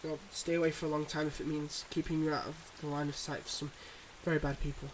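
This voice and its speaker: Man playing a tender, guarded mob boss boyfriend, higher-pitched. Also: level tone, a little hiss or hum, lightly acted, low-quality recording. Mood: bittersweet, devoted. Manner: earnest, measured, halting confessions. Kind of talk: ASMR boyfriend roleplay, intimate monologue.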